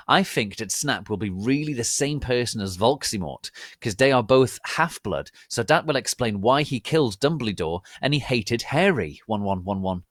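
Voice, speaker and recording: Man acting a gushing, excitable teenage fangirl, raised pitch. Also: no echo, clean studio sound, lightly acted. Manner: breathless, over-excited gushing. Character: gushing, excitable teenage girl fan-fiction author.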